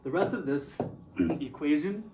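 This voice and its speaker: A man's voice: on the high side, animated, measured pace. Male teacher, patient, quizzes the class; distant room mic, classroom noise. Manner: patient, asks the class questions. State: slightly out of breath. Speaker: math teacher. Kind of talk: classroom explanation.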